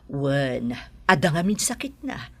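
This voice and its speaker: A woman's voice: a bass voice, big pitch swings, a little slow, fairly bright tone, breathy edge, heavily acted. A woman acting in a radio drama as a well-off, concerned city madam and mother with an Ilocano accent.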